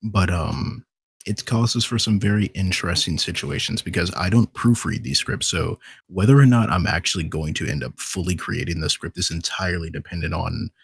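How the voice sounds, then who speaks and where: A man's voice: low voice, normal speed, creaky, breathy edge, no echo. A man chatting casually and candidly as a voice actor guest on a podcast. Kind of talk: casual chat.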